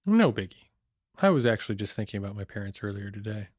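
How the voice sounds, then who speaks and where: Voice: low-pitched, big pitch swings, quick pace, darkish tone, no room sound. A performer playing a cynical, jaded computer hacker who lives off-grid, talking in a dry, blunt, casual way in an audio drama. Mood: relaxed. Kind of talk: naturalistic audio drama dialogue.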